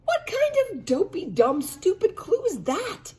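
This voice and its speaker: A woman's voice: very high voice, wildly swooping pitch, measured pace, a little mellow, voice pushed up, exaggerated voice. A woman voicing a bratty, boastful young girl spy in training from a children's storybook, in a snippy, pushy manner. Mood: snippy, indignant.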